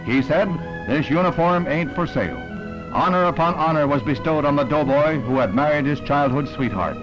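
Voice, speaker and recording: A man's voice: on the high side, normal speed, lo-fi sound. An American man reading scripted narration in the style of a 1960s newsreel. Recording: band-limited vintage newsreel film, loud music bed. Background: music.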